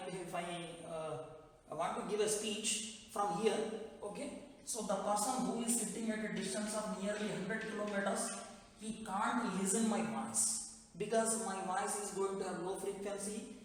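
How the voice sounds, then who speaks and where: A man with an Indian English accent explains physics exam answers, often repeating "okay" and "nothing but" with many "uh" fillers. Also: sits high. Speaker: physics teacher. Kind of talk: exam answer walkthrough lesson. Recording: echoey room, voice from far off, faint noise.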